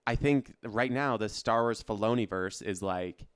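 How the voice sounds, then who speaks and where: Clear-voiced man giving emphatic casual opinions. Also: lowish voice, moderate pace, no echo, clean studio sound. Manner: emphatic, slangy, peppers speech with "like". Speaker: podcast co-host. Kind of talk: casual, opinionated pop culture chat.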